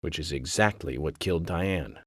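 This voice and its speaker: A man's voice: extremely low pitch, expressive, moderate pace, fairly bright tone, slightly rough, no echo, clean studio sound, heavily acted. A man playing a cool, probing investigator in a video game, firm, pointed and matter-of-fact. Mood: stern, accusing. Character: cool, probing male investigator interrogating suspects. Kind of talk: video game cutscene acting.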